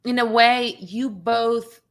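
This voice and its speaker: A woman hosting a live interview, affirming her guest warmly and laughing easily. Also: a little high, steady tone, slow pace. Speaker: host.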